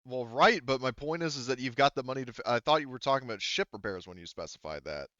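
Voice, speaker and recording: A man's voice: medium pitch, lively intonation, fast, bright, forceful tone, no room sound, dull audio. A man acting as game master, explaining rules casually and rephrasing as he goes in a hesitant way.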